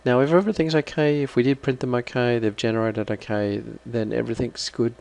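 A man giving an unscripted, halting step-by-step software walkthrough as a trainer. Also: mid-range voice, fairly even intonation, vocal fry. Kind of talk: screen-recorded software walkthrough.

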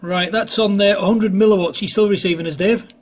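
Male ham radio hobbyist, high voice with a rough husk, casual on-air chat. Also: fairly even intonation, brisk. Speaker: amateur radio operator filming a test. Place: indoors at a home radio desk.

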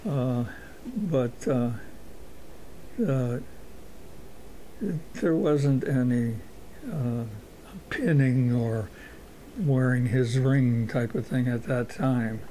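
Slow, soft-toned man in his eighties. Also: medium pitch, a little hiss or hum, rough recording. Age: 80s. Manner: halting, many pauses and fillers, dry humor. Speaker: interviewee. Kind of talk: oral history recollection.

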